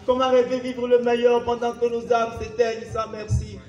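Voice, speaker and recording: A man delivers anguished spoken-word poetry in a very high-pitched voice. His declamation is theatrical and intense, leaning on insistent repetition. He is heard from a distance in an echoey live venue, with faint flute music behind him. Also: monotone, normal speed, darkish tone, highs cut off. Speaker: slam poet. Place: live festival stage, picked up from a distance.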